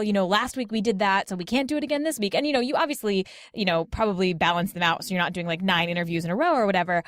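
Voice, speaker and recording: A woman's voice: mid-range voice, no room sound, clean studio sound. A woman chatting casually as a podcast guest, peppering her sentences with "like". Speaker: podcast host as interview guest. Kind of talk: casual podcast panel chat.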